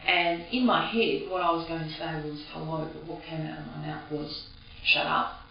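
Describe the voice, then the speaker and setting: Australian woman, greek as first language, flat even delivery, roomy echo, distant dull mic. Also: sits low, vocal fry, slight background noise. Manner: reflective, halting. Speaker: interviewee. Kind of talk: personal reminiscence. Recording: echoey, distant, dull recording.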